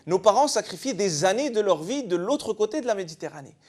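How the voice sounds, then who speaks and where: A man's voice: high voice, sing-song, a little fast, darkish tone. A man from eastern France giving an explanatory religious talk as a panel guest, in a didactic way with rhetorical questions.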